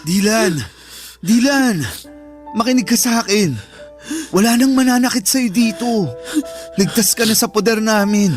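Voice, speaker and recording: A firm, protective older brother with a Filipino accent, played by a man. His voice is high and earnest, in an emotional radio drama with music behind it. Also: unhurried, pauses often.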